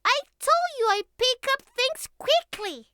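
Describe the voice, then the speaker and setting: A young catgirl with a tiny, extremely high and bright voice. She is clingy, naive and pouty, stammering through an affectionate ASMR roleplay in swooping, childlike tones. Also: expressive, measured pace, a little hiss or hum, full character voice. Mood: eager, proud.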